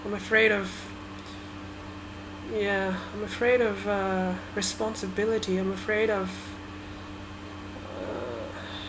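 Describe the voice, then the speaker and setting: A woman from Sri Lanka whose first language is Tamil, talking through her thoughts in a halting, hesitant way with many restarts. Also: mid-range voice, noisy background. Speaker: vlogger. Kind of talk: personal reflective monologue.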